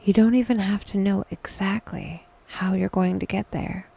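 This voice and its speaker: A woman leading a guided meditation in a soft, breathy whisper, intimate and deliberate, with long pauses. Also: medium pitch, fairly even intonation.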